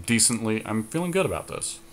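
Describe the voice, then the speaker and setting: A man's voice: fairly low pitch, animated, a little fast, a little hiss or hum. A man co-hosting a podcast, casually commentating on a card game draft and explaining his choices as he thinks aloud. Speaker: podcast co-host.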